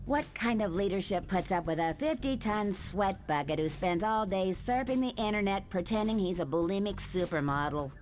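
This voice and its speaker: A woman's voice: medium pitch, lightly acted. An actress performs a scornful female mutant militant in a comic-book drama. Her mid-range voice is sarcastic and disgusted, with light music behind it. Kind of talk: motion comic voice acting.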